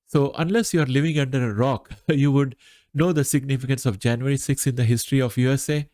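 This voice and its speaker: A middle-aged man talks through market commentary in a nasal voice, as if still getting over an allergy. He speaks at a middle pitch and works through his points in a measured way, often pausing on "uh". The recording is dry and close, with little room sound. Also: darkish tone, no echo. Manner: measured, frequent "uh" pauses. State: recovering from allergy. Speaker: finance channel host.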